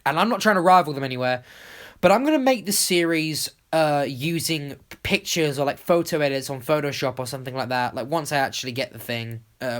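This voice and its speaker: YouTube creator, medium pitch, casual voice-over, enthusiastic, rambling, conversational.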